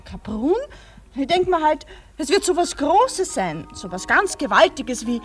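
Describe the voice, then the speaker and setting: A woman's voice: high-pitched, sing-song, unhurried. An actress with a Viennese accent playing a naive, curious wife in a comic radio dialogue, with music behind her. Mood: insistent, musing.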